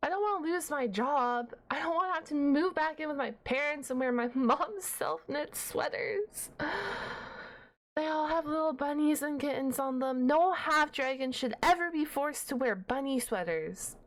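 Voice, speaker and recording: A woman's voice: high voice, normal speed, natural voice. A woman voicing a shy, nerdy, flustered half-dragon librarian in an ASMR roleplay, hesitant and rambling with awkward humour. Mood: nervous, pleading.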